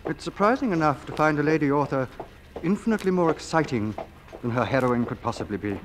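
An actor playing a brazen, flirtatious gentleman author, with a smooth, teasing manner in period radio drama style. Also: a little high, lively intonation, quick pace, a little hiss or hum, close to an everyday voice, rough recording. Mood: suave. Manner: smooth, teasing, mock-hesitant courtship.

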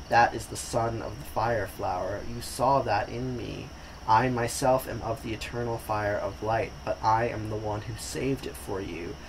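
A young man voicing a grave old male sage who speaks in a calm, commanding, measured way. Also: fairly low pitch, background noise. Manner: measured, declaiming.

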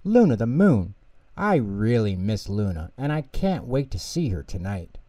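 Guy reading as a big cuddly bear for kids, reassuring, slow and really animated. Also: fairly low pitch, no room sound. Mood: gentle, explaining. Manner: reassuring, thoughtful, explanatory. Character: big kindly male bear, patient and affectionate. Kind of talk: children's storybook character reading.

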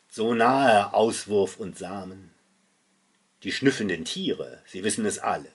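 A middle-aged man reciting his own literary poetry in a solemn, dramatic and deliberate manner. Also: mid-range voice, breathy edge. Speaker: poet reading own work.

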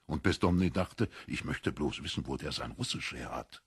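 Male narrator for literary audiobook: expressive, animated range, very husky tone. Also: extremely low pitch, normal speed, dry recording, clean studio sound.

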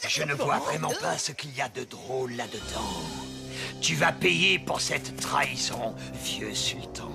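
Cartoon villain wolf, scheming, sneering, menacing, slow drawl, swooping pitch, loud music underneath. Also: male voice, lively intonation, creaky. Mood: threatening. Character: sly menacing big bad wolf, villain. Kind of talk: French cartoon dubbing.

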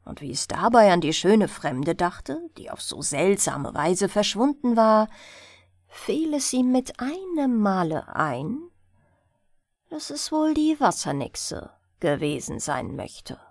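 A woman's voice: mid-range voice, sing-song, takes it slowly, darkish tone, no echo, clean studio sound. A woman narrating a fairy tale as a bedtime audiobook.

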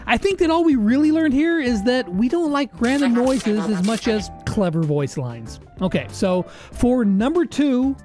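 A man's voice: extremely high pitch, animated, normal speed, a little mellow. A man, giving casual, conversational countdown commentary as a video host. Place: small home studio, indoors. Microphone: close boom-arm studio microphone. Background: faint music.

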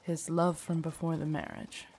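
Low, husky loyal lady knight, acted by a woman. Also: fairly even intonation, moderate pace, a little mellow, full character voice. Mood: earnest, comforting. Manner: formal, deferential, earnest. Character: loyal, protective female knight guarding her queen. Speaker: voice actor in audio roleplay. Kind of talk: acted immersive audio drama monologue.

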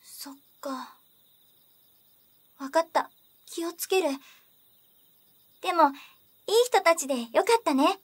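Woman as an innocent high-voiced little girl. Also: slow, pauses often. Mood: quiet, thoughtful. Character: innocent, earnest little sister, young girl. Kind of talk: Japanese anime-style voice drama.

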